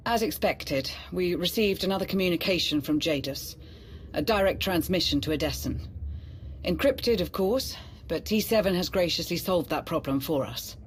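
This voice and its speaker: A woman's voice: on the low side, animated, normal speed, slight background noise, close to an everyday voice. A woman playing a cautious, formal military adviser in a scripted video game, pointed in her questioning. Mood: businesslike.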